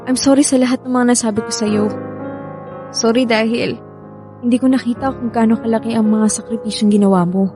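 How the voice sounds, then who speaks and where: A woman's voice: a little high, steady tone, a little fast, music in the background, lightly acted. An actress playing a remorseful young woman in tears with a Filipino accent in a radio drama. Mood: remorseful, confessing. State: crying. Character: tearful, remorseful young woman begging an ex back.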